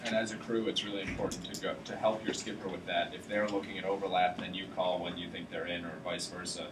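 A young man giving his sailing team quick practical advice. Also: sits low, level tone. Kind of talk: informal lecture to a student sailing team. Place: lecture classroom. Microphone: far camera in the room. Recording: distant classroom voice under loud background noise.

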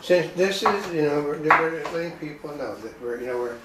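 A man's voice: on the high side, lively intonation, normal speed, far from the mic, very noisy. A man bantering casually on a live home cooking show, rambling with dry self-mocking jokes. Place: home kitchen, indoors. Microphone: distant camera mic. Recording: roomy kitchen sound from afar.

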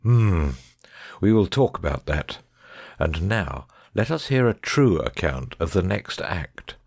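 A man's voice: low-pitched, big pitch swings, slow pace, creaky, a little mellow, breathy edge, no room sound, studio quality, exaggerated voice. A man playing a shrewd, commanding, coolly sardonic detective with precise, formal speech in an audiobook dramatic reading. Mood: stern, accusing.

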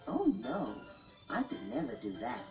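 A woman voicing a gentle male butterfly in a storybook, soothing and affectionate. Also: rumbling low, huge pitch swings, measured pace, heavily acted. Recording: music behind the voice.

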